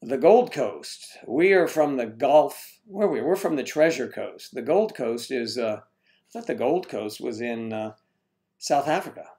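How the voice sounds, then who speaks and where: A man's voice: medium pitch, expressive, a little slow, dark tone. Rambling man hosting a casual livestream. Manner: rambling, stumbles over viewers' names. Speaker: livestream host greeting chat viewers.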